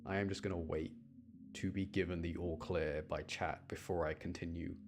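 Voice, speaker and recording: A man's voice: sits low, fairly even intonation, moderate pace. A man giving casual gaming commentary on a livestream, thinking aloud in an informal way. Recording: game music behind the voice. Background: video game music.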